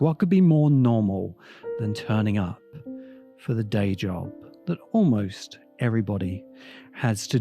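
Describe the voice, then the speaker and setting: Slow, mellow man giving measured commentary. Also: on the low side. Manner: measured, deliberate, dramatic pauses mid-sentence. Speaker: podcast host, critic. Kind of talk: essay-like scripted commentary on science fiction.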